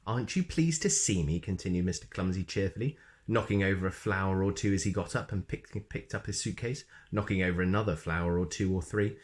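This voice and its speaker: A man reading a children's story expressively, with playful emphasis and dramatic pauses. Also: deep voice, little pitch movement. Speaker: storybook reader.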